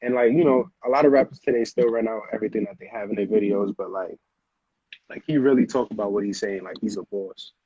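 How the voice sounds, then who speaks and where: Deep, husky American man, muffled. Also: fast talker, warm tone, no room sound. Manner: slangy, repeats phrases. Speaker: music producer, interview guest. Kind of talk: casual interview chat.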